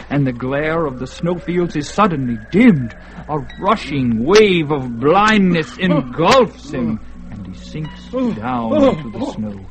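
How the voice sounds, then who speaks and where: A man narrates a radio drama slowly and gravely, weighing every line. Music plays clearly underneath him. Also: on the high side, rough recording. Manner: slow, grave, measured.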